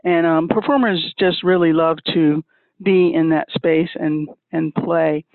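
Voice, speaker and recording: A man speaking for a music school, explaining plans in a measured way with many pauses. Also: a little high, steady tone, creaky, no room sound. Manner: measured, pausing with many uhs. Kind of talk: casual explanation. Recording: dry, tinny phone line.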